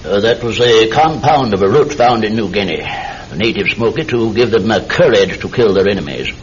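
A man's voice: lowish voice, expressive, a little fast, a little hiss or hum, close to an everyday voice. Man acting a swooping, sly villainous doctor. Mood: smug, sinister. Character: evasive, arrogant villainous doctor, secret Nazi agent. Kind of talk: melodramatic radio drama acting. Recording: dull radio sound with music.

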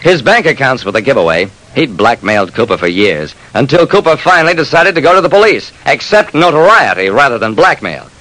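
Old time radio detective guy, dry and terse, narrating his case, really lively pitch, vintage broadcast sound. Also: brisk, hardly any pauses, poor audio. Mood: matter-of-fact, wry. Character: brisk male private detective narrating his own case. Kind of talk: 1940s-50s radio crime drama, first-person narration.

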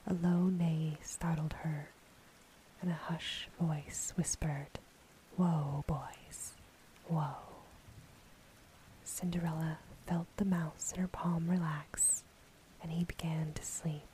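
Female ASMR storyteller, breathy whisper, dark soft tone, very unhurried narration. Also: sits low, level tone, slight background noise, rough recording. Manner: soft, slow, gentle delivery.

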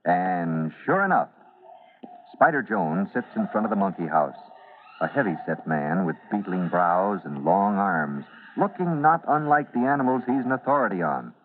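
A man's voice: low-pitched, very animated, normal speed, slight background noise. A man narrating a classic radio serial in a theatrical, emphatic announcer style. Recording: narrow vintage radio recording.

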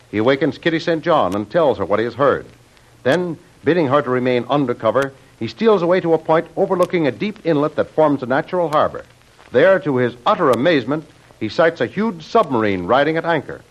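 A man narrating an old radio serial as announcer, formal and dramatic. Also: medium pitch. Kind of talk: vintage radio scripted narration. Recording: muffled old radio recording.

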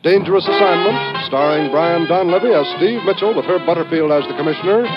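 An American man reading scripted announcements in old-time radio style. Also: high-pitched. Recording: dull vintage radio broadcast. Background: music.

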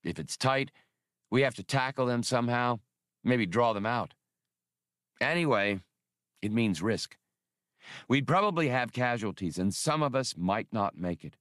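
A cocky space pilot and gunslinger, played by a male audiobook reader, blunt and sure of himself. His clipped speech swings lively in pitch, recorded dry and close. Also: unhurried, long pauses, no room sound. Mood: cool, blunt. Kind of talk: audiobook character voice.

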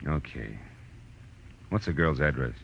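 Hard-boiled radio detective: very low, sardonic, wisecracking, muffled vintage sound. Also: male voice, animated, a little slow, gravelly, husky, exaggerated voice. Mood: firm, reluctant. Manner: wry, clipped, sardonic delivery. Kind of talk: 1940s radio acting, first-person narration. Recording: dull old radio recording, loud music.

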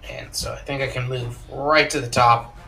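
A man's voice: medium pitch, fairly even intonation, moderate pace, gravelly, pushed and bright, faint music behind. A man playing a tabletop game, joking and bantering with the table. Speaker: player. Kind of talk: casual tabletop play.

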